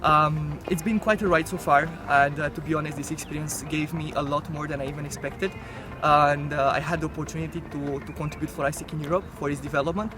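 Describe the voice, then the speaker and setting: A man in his twenties from Romania with a Romanian accent, presenting himself earnestly and haltingly in a semi-prepared video. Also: a little high, fairly even intonation. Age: 20s. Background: loud music.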